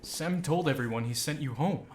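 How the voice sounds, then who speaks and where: A man playing an eager, kindly adventurer in an audiobook fantasy story, animated and exclaiming. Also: a little high, normal speed, slight background noise, close to an everyday voice. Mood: concerned.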